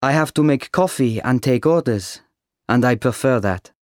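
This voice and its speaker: An actor reading a scripted listening exercise as a young man working in a cafe, in a clear, steady reading. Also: medium pitch, normal speed, dry recording, studio quality, close to an everyday voice. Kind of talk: scripted textbook listening monologue.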